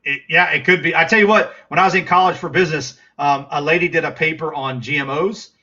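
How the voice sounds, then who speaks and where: Middle-aged Texan man, livestream host, rambling and chatty, slightly high bright voice. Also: fast talker, lo-fi sound. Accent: Texas. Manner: rambling, chatty, full of fillers and restarts. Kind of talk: casual livestream chat.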